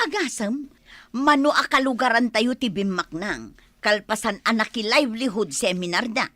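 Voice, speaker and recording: A woman acting as an ambitious, easily annoyed village wife in a comedy radio drama, animated and sassy, with an Ilocano accent. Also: a little high, moderate pace, dry recording. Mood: pleased.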